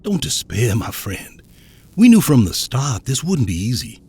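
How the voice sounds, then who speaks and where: An actor playing a zealous, righteous reverend in an audio drama, composed and solemn. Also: male voice, medium pitch, sing-song, unhurried, a little mellow, slightly rough, heavily acted. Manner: solemn, preacherly.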